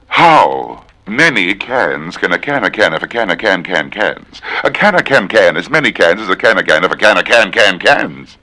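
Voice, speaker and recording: Theatrical man reciting, very animated pitch. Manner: precise, dramatic enunciation. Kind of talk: theatrical tongue-twister recitation.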